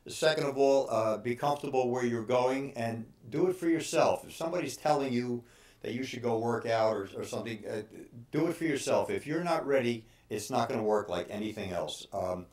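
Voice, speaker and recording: A man in his sixties giving informal advice as a personal trainer, speaking haltingly with frequent pauses. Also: medium pitch, lively intonation, darkish tone. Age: 60s. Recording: roomy, echoing room sound.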